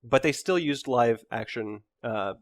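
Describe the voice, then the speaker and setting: A man co-hosting a casual group podcast, talking informally and a bit haltingly. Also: medium pitch. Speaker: podcast co-host.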